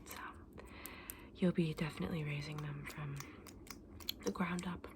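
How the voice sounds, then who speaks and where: A woman's voice: sits low, fairly even intonation, unhurried. Whispering woman in ASMR roleplay, noisy background. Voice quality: breathy whisper. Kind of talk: whispered ASMR roleplay as a dragon egg saleswoman.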